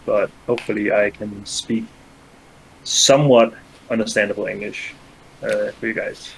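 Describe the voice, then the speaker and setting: A man's voice: lowish voice, flat delivery, normal speed, vocal fry, a little hiss or hum, poor audio. A young man whose first language is Danish, a guest in a casual chat, speaking with many fillers and restarts. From Denmark.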